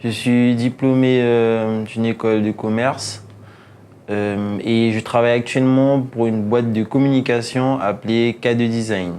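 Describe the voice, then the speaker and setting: A man's voice: medium pitch, steady tone, unhurried, a little mellow. Casting: young male interviewee, twenty-two, mid-range voice, telling his story over slight noise. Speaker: programme participant. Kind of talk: personal testimonial. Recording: faint background noise.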